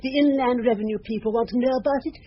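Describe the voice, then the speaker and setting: A woman's voice: a little high, racing, close to an everyday voice. An actress with a British accent playing a haughty, indignant older French landlady in a radio drama. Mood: defensive. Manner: indignant, clipped, disdainful. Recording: dull, hissy radio drama recording.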